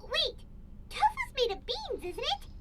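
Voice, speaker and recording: Squeaky little childlike fairy companion. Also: female voice, huge pitch swings, a little slow, heavy background noise, full character voice. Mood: curious, concerned. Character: small, squeaky, childlike female fairy companion, chatty and fretful. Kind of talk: video game voice acting. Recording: loud music under the voice.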